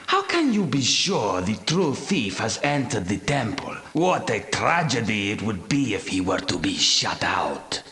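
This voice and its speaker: A man's voice: fairly low pitch, big pitch swings, measured pace, few pauses, pushed and bright, soft background music, exaggerated voice. An actor playing a deferential, doubting male servant of a knightly lord in a video game, with theatrical delivery. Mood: anxious doubt. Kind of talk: video game dramatic dialogue. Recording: roomy echo.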